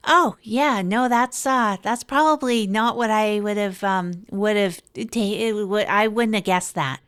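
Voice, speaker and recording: A woman playing an earnest, reflective small-town radio host, musing haltingly in an improvised comedy audio drama. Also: mid-range voice, fairly bright tone, smooth. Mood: awkward, accommodating.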